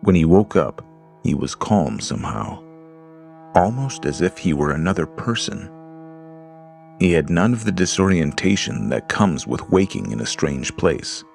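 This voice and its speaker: A man narrating a horror story in a slow, deliberate storytelling manner. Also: deep voice, sing-song, vocal fry, a little mellow. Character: Lars, a frightened man alone in a dark house. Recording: music under the voice.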